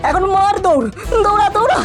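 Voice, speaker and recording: A woman voicing a mischievous, quick-witted ten-year-old cartoon boy, cheeky and animated. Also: very high voice. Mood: urgent. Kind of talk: comic cartoon dialogue. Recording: loud music bed under the voice.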